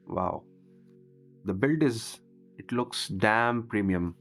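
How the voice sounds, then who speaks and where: A man's voice: fairly low pitch, takes it slowly, a bit bright. Man narrating a casual unboxing over music. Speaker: product reviewer, vlogger.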